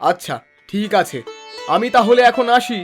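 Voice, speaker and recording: A performer voicing a helpful, concerned village man in a children's cartoon, earnest and expressive in a familiar way. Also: medium pitch, moderate pace, soft background music, close to an everyday voice. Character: helpful, concerned village neighbour named Ratan, male. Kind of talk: Bengali children's cartoon dialogue.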